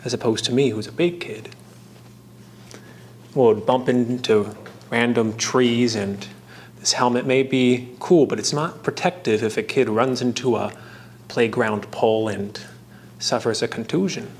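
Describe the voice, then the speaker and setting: Casual man narrating over clear background noise. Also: mid-range voice, fairly even intonation, unhurried. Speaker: host of a hobby craft video. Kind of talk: casual tutorial narration with fun facts.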